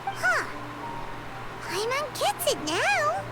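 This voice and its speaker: A woman voicing a tiny childlike fairy companion in a video game, squeaky, cutesy, excitable and nosy. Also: very slow, loud music behind the voice, heavily acted. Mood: uneasy. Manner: cutesy, exclamatory, refers to self by name. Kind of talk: English video game voice acting.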